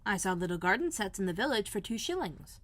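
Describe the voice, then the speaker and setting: A woman's voice: medium pitch, big pitch swings, quick pace, a bit bright, no room sound. A woman voicing a friendly, helpful young housemaid with old-fashioned rustic phrasing in a storybook. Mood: cheerful, curious.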